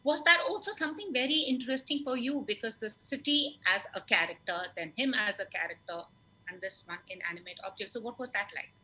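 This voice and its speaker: A woman interview host speaks in a bright, crisp voice with an Indian English accent. She talks in an easy conversational way and mixes Hindi phrases into her English questions. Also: fairly high pitch, brisk, slight background noise, low-quality recording.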